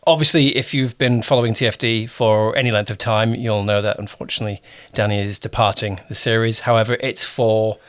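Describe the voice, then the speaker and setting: A man's voice: lowish voice, steady tone, a little fast, few pauses, clean tone. A man hosting a casual interview as a producer, talking informally and often rephrasing himself as he goes.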